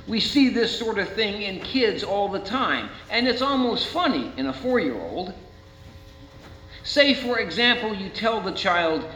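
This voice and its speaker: An American man preaching a sermon as a pastor, speaking in rhetorical questions with deliberate dramatic emphasis. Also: sits high, pushed and bright. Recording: roomy, muffled, with faint mains hum.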